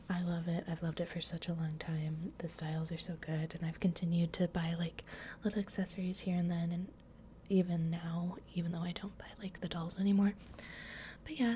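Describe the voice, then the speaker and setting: A young adult woman whispers breathily in a soft-spoken ASMR style, chatting informally. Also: lowish voice. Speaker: ASMR video creator. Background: clear noise, clicks and crinkles from handled items.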